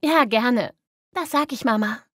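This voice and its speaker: A woman's voice: on the high side, a little slow, a bit bright, no room sound, clean studio sound. A woman playing an obliging, slightly exasperated daughter passing on messages in an acted language-learning dialogue. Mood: friendly.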